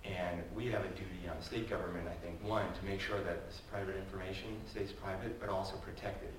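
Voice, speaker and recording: A man speaking on a panel, giving earnest, measured remarks. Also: low-pitched, fairly even intonation. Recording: echoey hall, distant mic, room noise.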